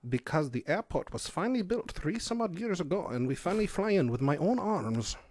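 A man's voice: very animated, fairly quick, darkish tone. A man voicing a pompous, indignant rich woman from Spain in an improvised comedy sketch. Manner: pompous, indignant, high put-on voice. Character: haughty rich woman from Spain, touchy about her name.

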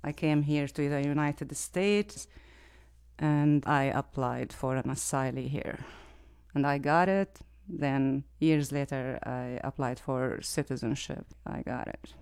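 A woman's voice: extremely low pitch, slow pace, a little mellow, smooth. A woman from Syria speaking as a guest in a radio studio interview, choosing her words carefully and phrasing them in a non-native way. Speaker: community coalition president, guest.

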